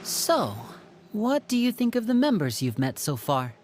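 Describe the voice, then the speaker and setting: A woman's voice: medium pitch, very animated, unhurried, darkish tone, slight background noise. A woman voicing a composed, caring older brother in his teens for a video game, polite and self-assured. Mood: friendly, easygoing. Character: composed, caring teenage older brother, smooth young man. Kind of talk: English game voice acting.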